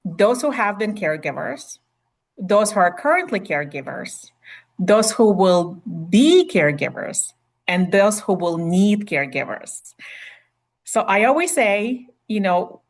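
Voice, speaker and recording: A woman's voice: medium pitch, sing-song, a little slow. Chatty Ukrainian-accented woman presenter. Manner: chatty, with many fillers and restarts. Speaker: nurse practitioner presenting a webinar. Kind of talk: webinar presentation.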